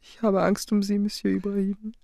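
A man's voice: high-pitched, monotone, moderate pace, creaky, mellow, dry recording, clean studio sound. A young actor playing an earnest, anxious adolescent boy in a radio drama, pleading and urgent.

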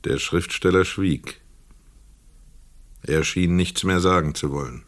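A man narrating a scripted literary radio play in a measured, sober way. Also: low voice.

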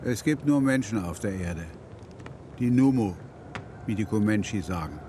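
An actor playing a gruff, worldly-wise frontiersman in a German radio drama, giving dry, clipped remarks. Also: fairly low pitch, animated, measured pace, a little hiss or hum. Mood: philosophical. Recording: loud music and outdoor sounds behind.

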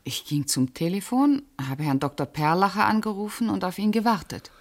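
An actress playing a pointed, suspicious witness under questioning in a radio crime drama. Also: female voice, medium pitch, expressive, normal speed, close to an everyday voice. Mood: guarded, prickly. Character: pointed, suspicious female witness, friend of the victim. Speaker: actor.

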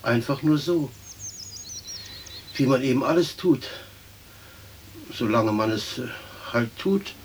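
An actor playing an earthy, hungry grumbler in a radio play, coarse and plain-spoken. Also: male voice, medium pitch, expressive, takes it slowly, pauses often, slight background noise. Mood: grumbling. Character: hungry, grumbling, earthy common man stuck on a branch. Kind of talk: German radio play acting.

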